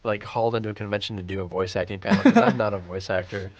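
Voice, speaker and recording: A man's voice: on the low side, racing. A man chatting casually and self-deprecatingly in a group commentary as a crew member. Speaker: crew member who also voice acts.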